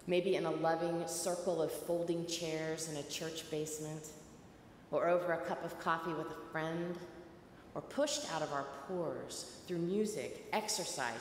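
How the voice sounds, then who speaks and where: Slow-preaching woman in echoey church. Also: sits low. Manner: slow, measured delivery with reflective pauses. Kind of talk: sermon. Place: large stone church, at a pulpit. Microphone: pulpit mic at a distance. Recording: echoey church with long reverb, faint hiss.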